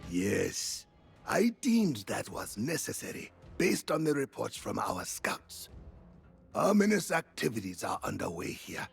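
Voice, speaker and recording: An earnest fantasy game strategist, a man with a very rough, husky voice. His delivery is formal and deliberate, with lively swings in pitch and a gravelly edge. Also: faint music behind, full character voice. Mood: grave, resolute. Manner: formal, deliberate military phrasing. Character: earnest male military strategist in fantasy war. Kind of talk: scripted video game dialogue.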